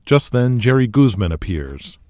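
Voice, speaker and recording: A man's voice: medium pitch, sing-song, unhurried, a little mellow, no room sound. A man narrating an audio drama as its host, in a dramatic scripted storytelling style. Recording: music behind the voice. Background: music bed.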